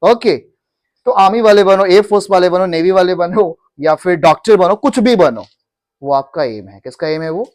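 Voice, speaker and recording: A man teaching English in to a class, repeating each phrase slowly for students to echo. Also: high voice, a little mellow. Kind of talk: classroom English lesson in Hindi.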